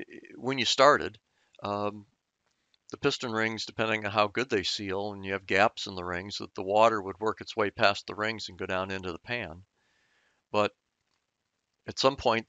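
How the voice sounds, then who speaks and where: A man's voice: on the low side, pushed and bright, dry recording, dull audio. A man explaining engine diagrams in an unscripted voice-over, thoughtful and hesitant with many fillers and restarts. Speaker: channel host explaining engine repair.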